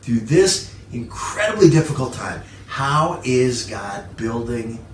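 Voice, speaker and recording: A man preaching a sermon as a pastor, emphatic, with repeated phrases, rhetorical questions and frequent pauses. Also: medium pitch, very animated. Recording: roomy echo, distant mic, faint noise.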